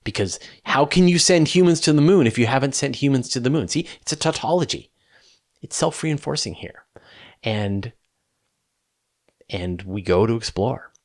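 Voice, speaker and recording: A man's voice: mid-range voice, animated, normal speed. A man hosting a question-and-answer show, explaining off the cuff in an emphatic, conversational way with rhetorical questions and repetition.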